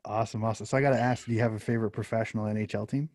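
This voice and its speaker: A man's voice: on the low side, steady tone, racing, a little mellow, dry recording, studio quality. A man hosting a podcast interview over a video call, upbeat and stumbling over his words when he starts a question. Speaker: interview host.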